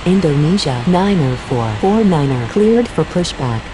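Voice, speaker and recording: A woman plays a businesslike female pilot and controller for a game. Her voice is very low, with clipped, procedural phrasing. Clear steady noise runs behind the matter-of-fact calls. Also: measured pace.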